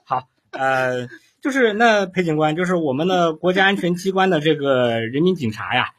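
A man's voice: on the high side, animated, moderate pace, a bit bright. A man hosting a casual livestream interview, speaking in a hesitant, repetitive way. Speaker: livestream host.

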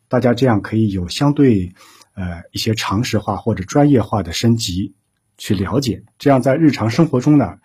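A man speaking as an official interview guest, explaining things formally in measured phrases. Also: lowish voice, darkish tone, breathy edge.

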